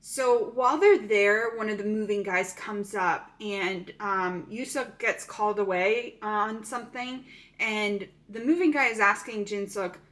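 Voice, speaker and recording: A woman's voice: medium pitch, measured pace, fairly bright tone. A woman casually recapping a thriller movie plot, chatty and full of "um" fillers.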